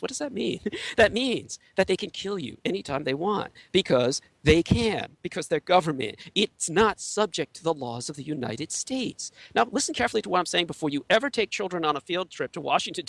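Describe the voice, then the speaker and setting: A man from Taiwan whose first language is Chinese, giving an intense, emphatic monologue as an interview guest, with his pitch swinging sharply and frequent filler hesitations. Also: creaky, fairly bright tone, no echo. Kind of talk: conspiracy-theory monologue.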